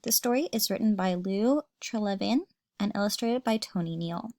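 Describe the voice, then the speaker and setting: A woman's voice: mid-range voice, normal speed, mellow, no echo, clean studio sound. A woman teacher reading a children's story aloud expressively, addressing young listeners.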